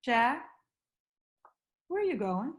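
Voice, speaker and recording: A woman's voice: on the high side, big pitch swings, takes it slowly. A woman acting a caring, insistent middle-aged wife and mother in a screenplay table read. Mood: fussing, insistent.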